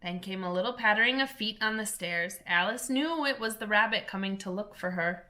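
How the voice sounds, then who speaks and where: A woman, a teacher, reading a children's storybook aloud in a gentle, clear storytelling manner. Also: mid-range voice, fairly quick.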